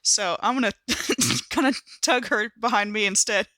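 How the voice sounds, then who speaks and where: A woman playing a tabletop game, chatting casually and playfully in an animated, giggly manner. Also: mid-range voice, measured pace, dry recording.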